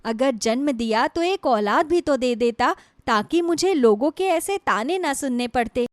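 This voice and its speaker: A woman's voice: high-pitched, animated, a little slow, dry recording, studio quality. A woman voicing a long-suffering young wife in a moral storybook tale, plaintive and despairing. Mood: sorrowful. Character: sorrowful, long-suffering young wife pouring out her grief. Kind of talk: Hindi moral storybook voice acting.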